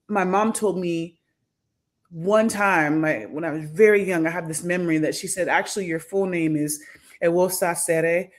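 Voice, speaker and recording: A woman in her thirties answers interview questions at an unhurried, reflective pace. She hesitates, restarts and circles back as she works through her thoughts. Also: on the low side. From United States. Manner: thoughtful, many fillers and restarts. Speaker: podcast guest, academic.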